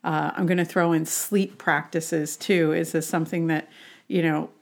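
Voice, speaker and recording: A woman's voice: deep voice, little pitch movement, moderate pace. A woman talking as a health coach, explaining things conversationally with questions and examples.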